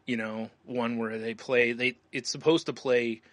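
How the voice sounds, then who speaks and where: A man's voice: mid-range voice, fairly even intonation, a little slow, no echo. A man co-hosting a podcast in casual, rambling conversation, often dropping in "you know.". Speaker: podcast co-host. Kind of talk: casual chat.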